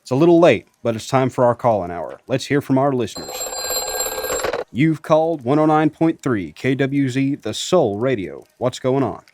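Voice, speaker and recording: A man playing a courteous, measured late-night soul radio DJ in a radio drama. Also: medium pitch, big pitch swings, a little mellow, lightly acted. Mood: on-air showman.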